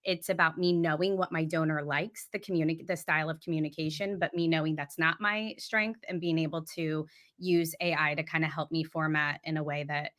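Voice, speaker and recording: A woman speaking on a nonprofit panel in a warm, chatty, self-deprecating way. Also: low voice, fairly even intonation, brisk, few pauses. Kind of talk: panel discussion.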